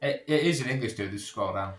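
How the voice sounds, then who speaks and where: A man with a bright, forward voice, chatting loosely as a podcast co-host. He swears freely and jokes as he goes. The sound is roomy, with noticeable echo. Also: medium pitch, fast. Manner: sweary, jokey. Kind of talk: casual banter chat.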